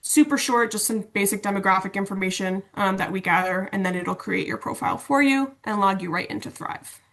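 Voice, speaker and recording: A woman giving an informal webinar walkthrough of software over a video call, explaining things in a casual, conversational way. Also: mid-range voice, a little fast, vocal fry. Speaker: instructional designer and LMS administrator presenting.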